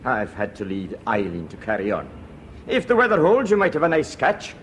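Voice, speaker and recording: A courteous rig worker. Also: male voice, medium pitch, wildly swooping pitch, normal speed, fairly bright tone, slight background noise. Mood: friendly. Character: Shetland oil rig worker, polite and homely. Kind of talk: 1970s radio drama acting.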